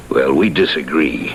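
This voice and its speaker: An actor playing a cynical intellectual official, sardonic and theatrical, in old radio drama style. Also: male voice, very deep voice, slight background noise, full character voice, low-quality recording.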